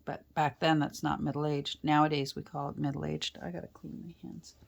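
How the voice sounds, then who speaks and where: A woman with a stuffy, congested cold, chatting casually while she crafts, rambling softly and often dropping into a whisper. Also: deep voice, little pitch movement, moderate pace, vocal fry. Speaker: journal-making crafter. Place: indoors at a craft worktable.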